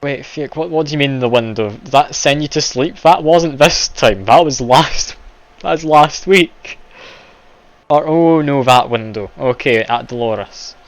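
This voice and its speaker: A man's voice: medium pitch, unhurried, no room sound. A man streaming a game live, chatty and self-deprecating, laughing often as he plays. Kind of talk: casual live game commentary. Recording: dull lo-fi stream audio, game music behind.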